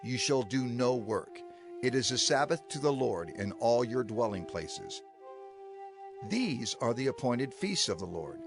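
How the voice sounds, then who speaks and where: Expressive man reading scripture over music. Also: mid-range voice. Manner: solemn, declarative, measured phrasing. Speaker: scripture reader. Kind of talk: dramatized Bible reading. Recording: clear music bed behind voice.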